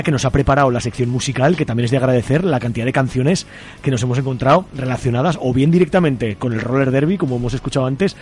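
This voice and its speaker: A man's voice: mid-range voice, darkish tone, a little hiss or hum. A man with a Spanish accent from Spain hosting a casual radio interview in an informal, chatty way. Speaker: radio show host interviewing guests.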